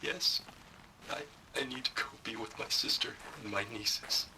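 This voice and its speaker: A man playing a gentle scientist in a video game, reassuring and halting, with a breathy, hushed voice. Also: mid-range voice, distant mic, noisy background, close to an everyday voice. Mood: sad, gentle. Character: gentle, reassuring male scientist comforting his AI.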